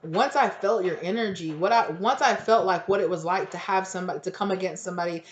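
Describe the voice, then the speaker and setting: A woman giving a casual tarot reading, hoarse and close to losing her voice, rambling in slang and acting out little quoted exchanges. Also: lowish voice, fast talker. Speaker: tarot reader. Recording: echoey, dull lo-fi room recording.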